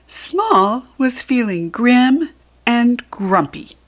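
An American woman reads a picture book aloud for little children. Her delivery is slow and deliberate, with lively, expressive swings in pitch. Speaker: children's storybook reader and host. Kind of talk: read-aloud storytelling for young children.

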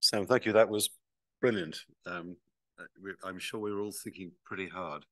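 Halting older man chairing questions. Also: low voice, fairly even intonation, no echo, poor audio. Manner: halting, with fillers. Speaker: event chair.

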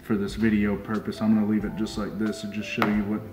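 A young man working as a fishing deckhand calmly walks through a hands-on demonstration step by step, often saying "just like so" as he works. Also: fairly low pitch. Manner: calm, unhurried, repeats "just like so" while working with his hands. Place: small indoor tackle shop workbench. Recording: roomy sound with music underneath.

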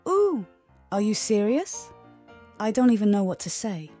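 A woman plays a gossipy little cartoon critter. The voice is mid-pitched with very lively intonation, and music plays behind it. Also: measured pace. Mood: amazed. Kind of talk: animated comic dub acting.

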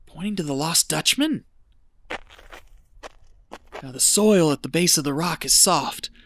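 An actor playing an exhausted, rambling treasure hunter losing his wits, folksy and breathy, muttering to himself in an audio drama. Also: on the high side, expressive, fairly bright tone, slight background noise. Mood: puzzled, muttering. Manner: folksy, muttering to himself, slow halting delivery.

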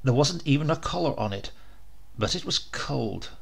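A man's voice: medium pitch, animated, moderate pace, gravelly, husky. A man narrating a short story as a scripted, dramatic audiobook reading.

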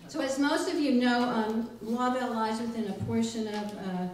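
A woman's voice: a little high, steady tone, moderate pace. A middle-aged woman hosting a live event with a wry, hesitant, joking delivery. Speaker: library director and show host. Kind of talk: live welcome speech to an audience. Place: small library room indoors with a live audience. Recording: very echoey room, distant mic, faint noise.

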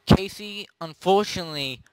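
A man running a tabletop zombie role-play as game master, narrating in a halting, improvised way. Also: sits high, a little mellow, clear, resonant tone, no room sound, poor audio. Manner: halting, pieces scenes together as he goes.

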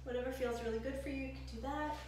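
A woman's voice: high-pitched, steady tone, fast, darkish tone, a little hiss or hum. A young woman fitness instructor giving breezy, encouraging workout tips and demonstrating a product kit in a home gym. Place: home gym room with bare walls. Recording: echoey room, voice from a distance.

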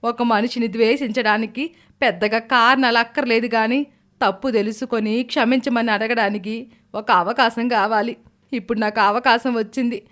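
A woman's voice: on the high side, steady tone, moderate pace, fairly bright tone, lightly acted. A woman voices an older mother-in-law in a storybook family drama. She speaks earnestly, in a motherly and protective way, with reassurance in her delivery. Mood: remorseful, caring.

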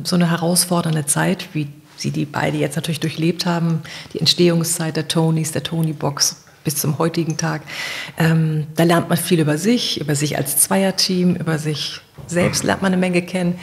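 A woman's voice: low-pitched, gravelly. A woman hosting a podcast, putting questions to her guests in a conversational interviewing style.

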